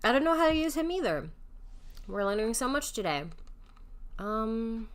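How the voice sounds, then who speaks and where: A woman's voice: on the high side, sing-song, moderate pace, smooth. A woman doing casual live video game commentary, chatty and self-mocking as she thinks aloud.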